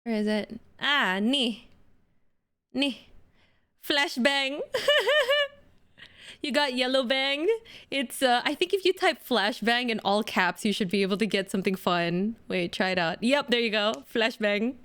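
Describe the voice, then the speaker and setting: A woman's voice: fairly high pitch, measured pace, long pauses, fairly bright tone, clean tone. A woman streamer with a light Indonesian accent, chatting casually and jokily with her viewers while feeling unwell with an upset stomach. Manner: chatty, giggly, jokey.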